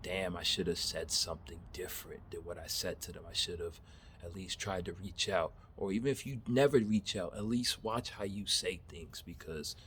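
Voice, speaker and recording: Low-voiced man in his thirties rambling. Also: normal speed, fairly bright tone, slight background noise. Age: 30s. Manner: rambling, candid, laughs at himself, swears casually. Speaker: vlogger. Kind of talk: casual motivational monologue. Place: backyard.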